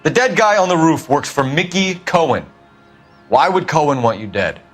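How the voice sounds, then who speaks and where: An actor playing a terse, formal 1940s American police detective, questioning people in a dramatic video game style. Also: male voice, fairly high pitch, sing-song, normal speed, fairly bright tone, faint music behind. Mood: stern. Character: stern, clipped male police detective, 1940s Los Angeles.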